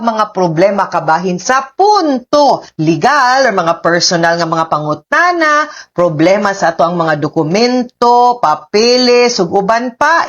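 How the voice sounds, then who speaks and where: A woman with a Cebuano accent and a slightly high voice talks as a radio advice host. Her delivery is informal and exclamatory. She peppers it with English asides and little tag questions. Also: fairly bright tone. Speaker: radio host and lawyer giving legal advice. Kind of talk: chatty radio advice monologue.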